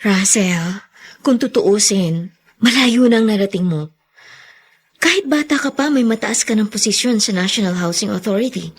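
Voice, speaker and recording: A woman's voice: mid-range voice, lively intonation, moderate pace, slightly rough. An actress playing a practical young woman in a radio drama who is easily alarmed. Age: young adult.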